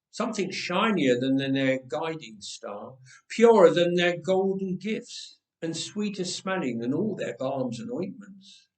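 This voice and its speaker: A man narrates as a storyteller with deliberate, unhurried phrasing and dramatic pauses. His voice sits a little high for a man and has a clear roomy echo around it. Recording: roomy echoing voice recording.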